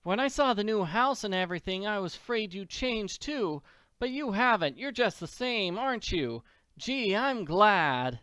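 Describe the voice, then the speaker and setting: Plucky orphan girl, male reader voicing her; high, bright, clear. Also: animated, measured pace, dry recording, exaggerated voice. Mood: glad. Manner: exclaiming, stubborn and cheery.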